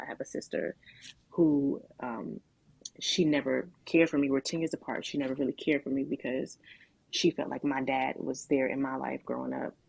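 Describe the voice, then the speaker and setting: A woman with a deep voice telling her life story in a candid, reflective conversation, with halting restarts and filler words. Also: gravelly, breathy edge, no echo, poor audio. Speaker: guest sharing her testimony.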